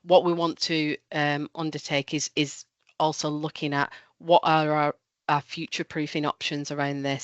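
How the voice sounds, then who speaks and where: A woman presenting for a local council at an online event, talking in a measured way with ums and restarts, a little nasal from a cold. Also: low voice, smooth, no echo. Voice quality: slightly nasal. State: has a cold. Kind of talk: webinar presentation and Q&A. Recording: dull lo-fi online call audio.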